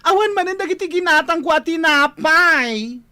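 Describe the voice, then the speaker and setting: Sharp, scolding Filipino woman, acted out. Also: extremely high pitch, lively intonation, normal speed, full character voice. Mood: complaining. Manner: scolding, emphatic. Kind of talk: Filipino radio drama acting.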